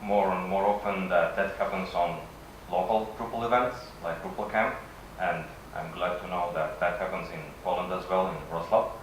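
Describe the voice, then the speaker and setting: Low-voiced Russian man in an echoey room. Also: steady tone, normal speed, few pauses. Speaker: conference speaker. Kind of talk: conference presentation, semi-improvised. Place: conference session room. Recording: echoey room from far off, faint noise.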